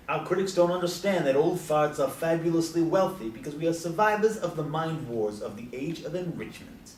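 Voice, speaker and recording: A man's voice: fairly high pitch, darkish tone, lightly acted. Far-off actor as wry old Beat man. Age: older. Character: old Beat man, wry, self-mocking, Zen-minded. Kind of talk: stage play dialogue. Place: live stage performance recorded from a distance. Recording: roomy, far from mic, faint noise.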